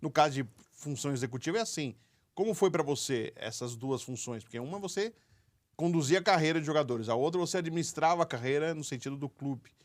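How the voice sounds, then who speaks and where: Male sports interview host, brisk, raised pitch, keeps chiming in with quick acknowledgments. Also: lively intonation.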